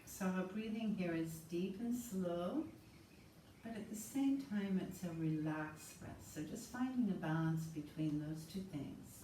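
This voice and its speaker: A woman leads a yoga class in a calm, soothing voice that sits low and mellow. She is recorded from a distance in a roomy space, with a faint hiss behind her. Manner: slow, soothing, calm cues. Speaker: yoga instructor. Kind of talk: guided yoga class instruction.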